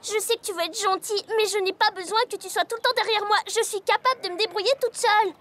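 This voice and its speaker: Cartoon filly, childlike and very high-pitched, insistent, expressive little-sister energy. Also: female voice, quick pace. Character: plucky young filly, exasperated little sister. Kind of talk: animated cartoon dub acting. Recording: music behind the voice.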